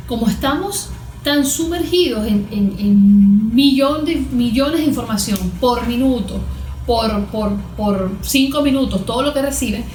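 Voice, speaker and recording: A woman's voice: a little high, darkish tone. A Venezuelan woman explaining things as a guest therapist in a conversational interview, often voicing little imagined examples. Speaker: guest expert, cognitive therapist. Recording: echoey, roomy sound.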